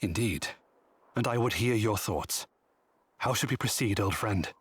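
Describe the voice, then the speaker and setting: An actor playing a dignified, resolute prince in a video game, speaking formally and politely. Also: male voice, fairly low pitch, unhurried, slightly rough, dry recording. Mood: earnest. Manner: formal, stately. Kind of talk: video game cutscene acting. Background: loud background music.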